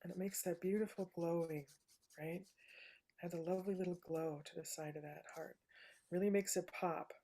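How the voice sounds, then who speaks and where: A woman with a soothing, low voice gently walking through a live painting demonstration, often checking in with "right?". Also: fairly even intonation, moderate pace. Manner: soft-spoken, gentle, says "right?" often. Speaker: painting instructor.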